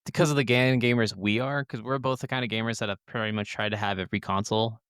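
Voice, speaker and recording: A man's voice: on the low side, steady tone, fast, fairly bright tone, clean tone, no room sound, studio quality. A man co-hosting a gaming podcast in a casual, conversational style. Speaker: podcast co-host.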